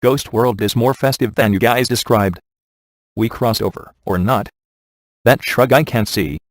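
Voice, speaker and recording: An actor playing a sardonic, laid-back male ghost hunter in a video game, delivering wry scripted quips in a casual deadpan manner. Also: mid-range voice, unhurried, no echo, studio quality, close to an everyday voice. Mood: wry, wary. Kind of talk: scripted game dialogue, dry wisecracks.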